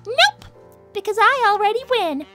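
Woman voicing fiery young female hedgehog, squeaky-high and bright, indignant streak. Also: expressive, moderate pace, soft background music, exaggerated voice. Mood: gushing. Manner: gushing, dramatic, indignant. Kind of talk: fan-made cartoon voice acting.

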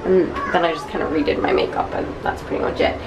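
A woman's voice: sits low, fairly even intonation, quick pace, gravelly, crowd noise. A young woman in her twenties chatting casually to a handheld camera, giggly and a bit congested from being sick. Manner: chatty, giggly, filming herself at arm's length. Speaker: vlogger. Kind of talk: casual vlog chat. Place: busy hotel restaurant at night. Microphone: handheld camera at arm's length. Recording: noisy crowded restaurant behind.

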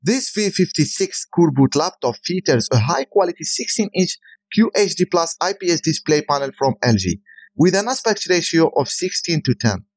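A man's voice: a little high, big pitch swings, normal speed, poor audio. A man who is a native Arabic speaker, giving a scripted voice-over product review as a tech reviewer.

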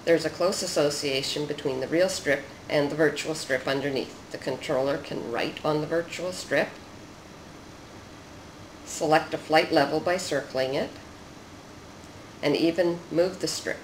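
Measured narrator explaining a research demo, roomy echo, bit of noise behind. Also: mid-range voice.

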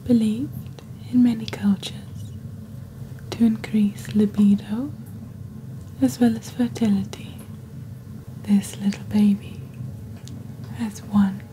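A woman voicing a witch who presents magical wares in soft-spoken, breathy, deliberate ASMR style, close to the microphone. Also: medium pitch, steady tone, a little hiss or hum, lightly acted, rough recording. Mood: hushed, mysterious. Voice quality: breathy, whispery. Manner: slow, deliberate, hushed storytelling. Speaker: ASMR performer as shopping channel presenter. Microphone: close desk microphone.

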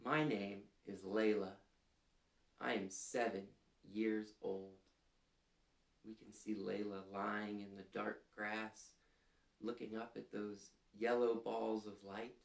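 A man in his thirties hosting a children's storytime, reading aloud and prompting listeners in a gentle, deliberate, clearly phrased way, seated in a small room. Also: fairly low pitch, level tone. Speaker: storytime host reading picture books to children. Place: small furnished room indoors, seated on a couch. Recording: roomy indoor sound.

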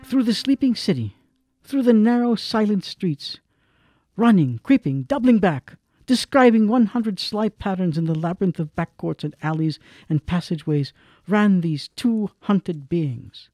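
A dramatic storyteller of a suspenseful radio play, performed by a man. His voice is fairly high for a man, soft-edged and husky, in a dry recording. He paces phrases with deliberate pauses. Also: animated, dry recording. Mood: breathless suspense. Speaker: narrator. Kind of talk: scripted radio drama narration.

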